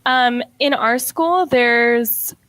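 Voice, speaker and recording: Young woman teacher guest, bright and a bit high, chatty with many fillers, dry close mic. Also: drawn-out words, no echo. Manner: chatty, fills talk with "like" and "um", laughs often. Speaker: middle school teacher, guest. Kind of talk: casual interview chat.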